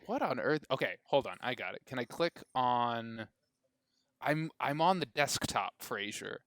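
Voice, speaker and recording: A man's voice: mid-range voice, lively intonation, measured pace, a bit bright, dry recording. A man with a mid-range voice co-hosts a podcast and chats casually. He rambles, jokes and breaks off his own sentences. The recording is dry and close on the mic. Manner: rambling, self-interrupting, joking. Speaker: podcast co-host.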